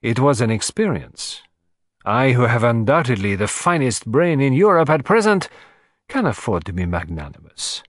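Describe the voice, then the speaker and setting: A man voicing an excitable, vain elderly detective who talks in fussy, theatrical exclamations. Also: medium pitch, normal speed, no echo, studio quality. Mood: smug.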